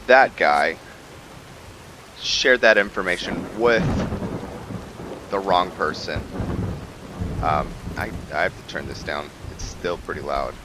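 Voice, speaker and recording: A man hosting a gameplay video with casual roleplay commentary, thinking aloud in a halting way. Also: low-pitched, noisy background.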